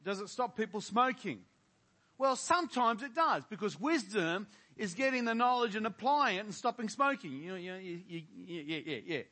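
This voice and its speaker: A man's voice: sits high, animated, moderate pace, sharp tone. An older man, a pastor, leading a prayer and preaching a sermon, talking straight to his congregation and putting rhetorical questions to them. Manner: frequent fillers and restarts.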